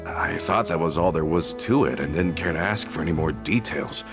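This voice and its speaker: An actor playing a tough, loyal gang member back from prison, informal and emotionally expressive, in a video game. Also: male voice, low voice, normal speed, music in the background. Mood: puzzled. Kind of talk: video game dialogue acting.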